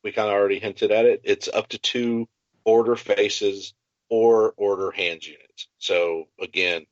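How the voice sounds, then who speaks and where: Guy with a lowish voice excitedly explaining game stuff, kinda dull dry audio. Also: a little slow, dry recording. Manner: enthusiastic explainer. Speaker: podcast co-host. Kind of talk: casual tabletop gaming chat.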